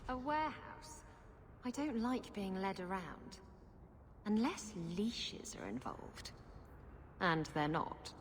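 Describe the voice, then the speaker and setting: A woman's voice: mid-range voice, big pitch swings, takes it slowly, long pauses, slight background noise. An actress playing a flirtatious, sardonic pirate woman in a video game, sultry and teasing. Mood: dry, teasing.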